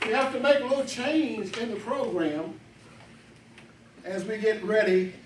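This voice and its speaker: High-voiced older man in an echoey room. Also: a little slow. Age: 70s. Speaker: master of ceremonies. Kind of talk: informal event announcements. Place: echoey indoor gathering room. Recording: echoey room, distant mic, faint noise.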